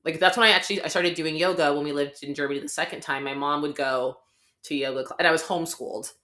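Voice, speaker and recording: Young woman, deep low voice, chatty rambling podcast co-host, filler-heavy. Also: fairly even intonation, fast, pushed and bright. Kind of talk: casual podcast chat.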